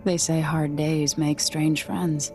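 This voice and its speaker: An actress playing a young deposed empress in a video game, resolute and weary, speaking in a measured, serious way. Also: female voice, sits low, flat delivery. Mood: guarded, dry. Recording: game music behind the voice.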